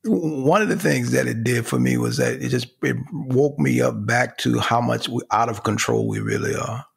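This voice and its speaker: Hoarse, gravelly man, lively speech. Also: mid-range voice, moderate pace. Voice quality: gravelly, husky. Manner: animated, laughs often. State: hoarse from screaming. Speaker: podcast guest, company CEO. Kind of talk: casual conversation.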